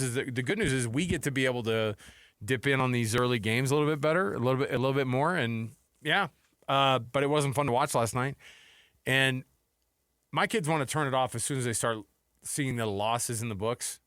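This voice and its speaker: A middle-aged American man talks sports in an informal, conversational way. His pitch sits in an ordinary range, and the sound is close and dry, as from a radio studio microphone. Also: a bit bright, dry recording. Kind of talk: casual sports talk with commentary. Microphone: close broadcast microphone.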